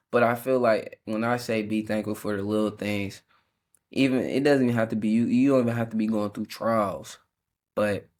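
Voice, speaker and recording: Young guy hosting his podcast, kinda stuffy nose, lowish voice, chill and rambly. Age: early 20s. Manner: laid-back, rambling, with frequent fillers and small laughs. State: congested, sucking a cough drop. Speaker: podcast host.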